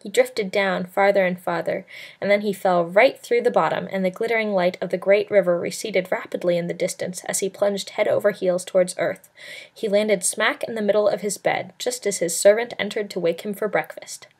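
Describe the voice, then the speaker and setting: A woman's voice: medium pitch, fairly even intonation, moderate pace, husky. A woman narrating a novel as an amateur read-aloud, in a scripted storybook style. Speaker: amateur audiobook reader.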